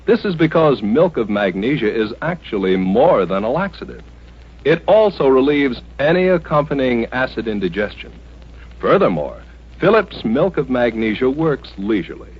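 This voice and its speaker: American male commercial announcer, vintage radio delivery, lively intonation, dull recording. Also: mid-range voice, breathy edge. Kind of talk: old-time American radio advertising read.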